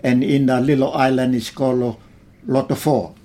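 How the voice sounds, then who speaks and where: An older man from Tonga, recalling his childhood in a slow, plain way during an oral history interview. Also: medium pitch, flat delivery, warm tone. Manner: slow, plain reminiscing with simple phrasing.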